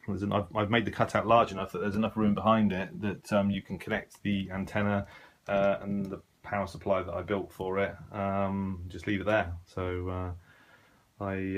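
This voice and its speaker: A British man giving casual, unscripted commentary as a hobbyist showing his gear, talking in a hesitant, rambling, conversational way. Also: lowish voice. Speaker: shortwave radio hobbyist presenting his gear.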